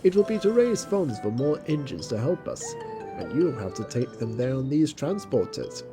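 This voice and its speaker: A woman voicing a stern, matter-of-fact male railway engine in an audio drama, explaining in a measured, firm way. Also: extremely low pitch, big pitch swings, music in the background. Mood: firm instructing.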